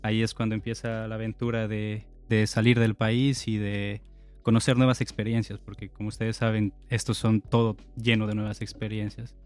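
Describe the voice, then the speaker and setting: A man in his twenties from Ecuador, a podcast guest calmly telling his story in casual chat. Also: fairly low pitch, normal speed, clean tone, faint music behind. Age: 20s. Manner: calm, reflective storytelling.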